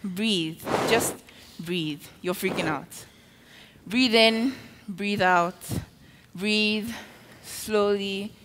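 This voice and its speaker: A woman voicing a calm, protective sister who soothes her panicking brother. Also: medium pitch, very slow, background noise. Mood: soothing. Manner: soothing, steady. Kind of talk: hobby voice drama.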